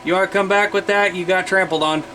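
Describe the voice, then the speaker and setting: A high-voiced American man works the CB radio, making casual contacts with other operators. Heavy static and hum surround his voice throughout. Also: steady tone, quick pace. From Iowa, United States.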